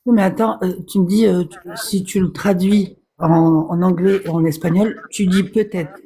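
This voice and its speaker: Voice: medium pitch, steady tone, normal speed, dark tone. A guest on a live stream patiently explains a point, with many fillers and repeated words. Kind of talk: casual debate on a live stream.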